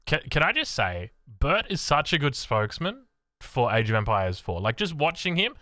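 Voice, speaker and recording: Man, Australian accent, streamer reaction, animated pitch, dry close sound. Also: normal speed, no echo. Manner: excitable, interrupts himself, thinks aloud. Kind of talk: casual reaction commentary.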